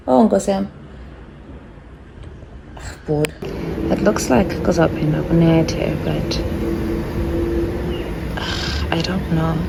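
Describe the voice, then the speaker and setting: A young Xhosa woman from South Africa filming her day at home in a low voice, mixing English and isiXhosa over faint room noise. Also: vocal fry. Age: young adult. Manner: code-switches between English and isiXhosa. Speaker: vlogger. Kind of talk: casual personal vlog chat.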